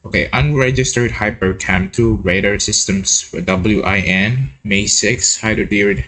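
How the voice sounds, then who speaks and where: A man giving casual, excitable reaction commentary, repeating words and breaking into his own read-aloud with asides. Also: lowish voice, a little slow, low-quality recording. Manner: excitable, repeats words, interjects while reading. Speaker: host of a reaction video.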